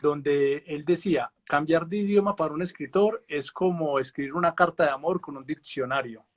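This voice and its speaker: A man's voice: fairly high pitch, moderate pace. A man hosting a casual interview, asking long questions with hesitant fillers and tag questions. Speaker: host.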